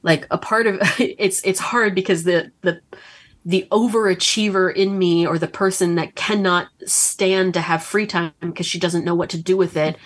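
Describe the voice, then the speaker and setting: A low-pitched woman in her thirties from Northern Kentucky, talking as a podcast guest. She is chatty and animated, with frequent ums and likes, and laughs as she talks. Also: moderate pace, breathy edge. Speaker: romance author, guest. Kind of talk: casual podcast interview.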